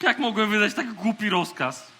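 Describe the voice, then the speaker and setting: An actor playing a panicky, self-important spaceship captain barking melodramatic orders in a children's radio play. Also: male voice, high-pitched, animated, moderate pace, very noisy. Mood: dismayed. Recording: loud music behind the voice.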